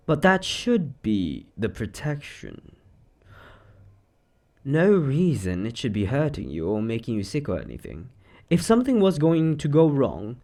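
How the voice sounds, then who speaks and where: A man playing an eccentric, secretly sinister occult shop owner in a roleplay monologue, chatty and offhand with theatrical, drawn-out words. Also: on the low side, darkish tone. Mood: casual, evasive. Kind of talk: scripted roleplay monologue to the listener.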